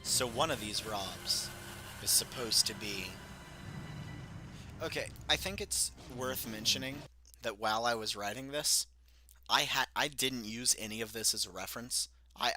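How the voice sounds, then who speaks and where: A man's voice: medium pitch, sharp tone, no room sound. A young man reading aloud a book he wrote as a child, breaking off into self-mocking, rambling asides. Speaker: reader of his own childhood book. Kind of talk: casual read-through with commentary.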